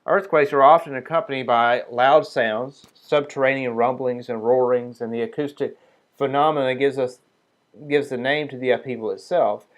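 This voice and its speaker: A man's voice: medium pitch, fairly even intonation, dull audio. Man reading and explaining a teaching. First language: not Hebrew. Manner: reads quotations slowly, then talks loosely with fillers and restarts. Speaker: Sabbath group teacher. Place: small group meeting room.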